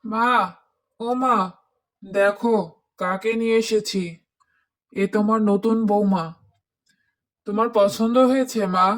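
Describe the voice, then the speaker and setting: A man voicing a scheming grown son in a cartoon, talking in a measured way. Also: high voice, fairly even intonation, fairly bright tone. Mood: pleased. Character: scheming adult son, attached to his mother. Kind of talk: cartoon voice acting.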